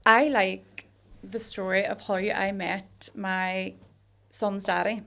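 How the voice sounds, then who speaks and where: A woman's voice: mid-range voice, flat delivery, slow, creaky, sharp tone. A woman from Derry with a strong local Northern Irish accent, joking and telling stories casually as a podcast co-host. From Derry, Northern Ireland. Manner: joking, teasing. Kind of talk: casual banter, storytelling.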